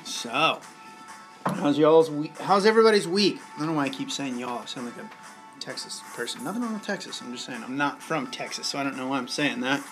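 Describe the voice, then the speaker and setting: A man's voice: medium pitch, expressive, brisk, music in the background. A young man hosting a live bartending stream, chatting casually and laid-back with viewers. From United States. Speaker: bartending channel host.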